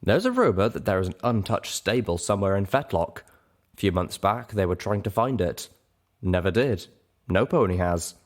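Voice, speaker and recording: Guy doing a gentle stallion lawyer type, lowish voice, dry, formal, storybook character. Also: big pitch swings, moderate pace. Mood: sombre. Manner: formal, matter-of-fact, wordy. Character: gentle male pony legal clerk, dry and formal.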